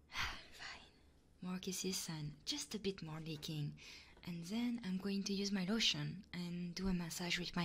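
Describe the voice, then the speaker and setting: Slow, whispering female ASMR streamer. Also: fairly low pitch, a bit bright, a little hiss or hum. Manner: whispering and murmuring, close and breathy. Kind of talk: ASMR livestream chatting. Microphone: close ASMR microphone.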